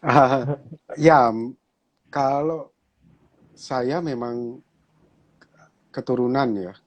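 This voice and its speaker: A husky, slightly breathy man with a Javanese accent talks in a relaxed, chatty way. He is a pastor answering questions in a casual online conversation, with an easy laugh and a middle-pitched voice. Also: extremely slow. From Java, Indonesia.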